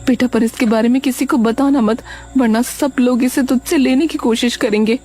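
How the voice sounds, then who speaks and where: A woman's voice: fairly high pitch, fairly even intonation, moderate pace, dark tone, soft background music, voice pushed up, close to an everyday voice. A woman voicing a caring, protective mother in a cartoon story, speaking in a tender, cautioning way. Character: caring mother of a poor laborer.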